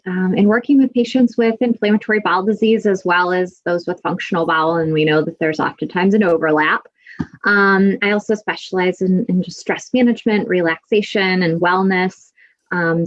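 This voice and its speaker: Woman psychologist on muffled call audio. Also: on the low side. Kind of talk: professional introduction.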